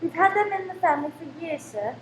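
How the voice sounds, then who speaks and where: Female actor as servile, flustered landlady, high voice, keen to please; echoey room. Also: expressive, fairly quick. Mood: eager, deferential. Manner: servile, polite, flustered when rebuked. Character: eager, deferential married landlady, anxious to please. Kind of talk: radio play acting. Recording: roomy echo with faint background noise.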